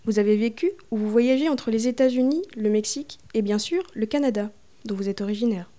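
A female interviewer poses long, prepared questions at an even, deliberate reading pace. Her voice sits a little high but sounds soft and mellow, never sharp. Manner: formal, carefully read.

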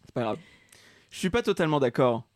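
A young man hosting a casual chat, relaxed and self-mocking, laughing often. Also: mid-range voice, brisk, no echo, studio quality. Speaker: host. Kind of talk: casual chat, reading his old comments aloud.

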